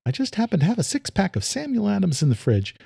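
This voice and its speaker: A woman's voice: medium pitch, huge pitch swings, fast talker, gravelly, warm tone, husky, no echo, clean studio sound, voice pushed up, exaggerated voice. A man narrating a story, voicing an anxious middle-aged mother who talks in a soothing, eager-to-please way.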